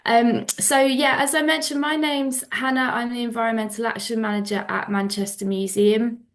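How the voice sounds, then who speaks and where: A woman's voice: on the high side, fairly even intonation, moderate pace. A woman with a head cold, sounding a little nasal, giving a presentation at a panel with many ums and kind ofs. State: congested with a cold, a bit nasal. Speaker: museum environmental manager. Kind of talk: presentation talk.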